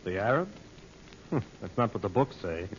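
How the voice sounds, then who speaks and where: An actor playing a breezy, skeptical tourist, offhand and prying, in an old-time radio adventure serial. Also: male voice, fairly low pitch, big pitch swings, unhurried. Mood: amused doubt. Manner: offhand, teasing, probing. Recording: muffled old radio recording, noisy.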